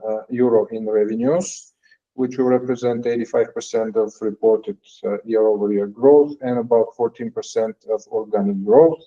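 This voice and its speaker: A man presenting financial results as a company executive on an earnings call, in a halting, hesitant delivery with frequent pauses. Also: mid-range voice, dark tone. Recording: dull, lo-fi call audio.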